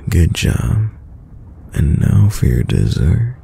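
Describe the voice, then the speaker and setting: A man playing a tender, teasing boyfriend in an intimate, soft-spoken ASMR roleplay, with a very deep, gravelly voice. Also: sing-song, slight background noise, full character voice. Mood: tender, playful. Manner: slow, soft-spoken, soothing, affectionate. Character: tender, teasing young boyfriend caring for sick partner. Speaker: voice actor.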